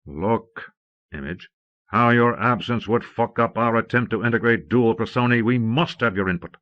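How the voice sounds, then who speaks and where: A man's voice: mid-range voice, moderate pace, dry recording, natural voice. Man playing an impatient commanding magnate, muffled tape. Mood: insistent. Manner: forceful, exasperated, articulate. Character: commanding, impatient magnate browbeating his younger-looking brother. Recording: muffled old cassette audiobook transfer.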